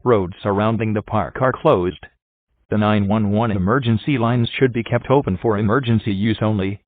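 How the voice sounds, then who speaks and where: A man's voice: on the low side, moderate pace, no echo. A man reading a scripted emergency bulletin as an announcer, formal and impersonal, through a radio filter. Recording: tinny, narrow phone-like broadcast sound.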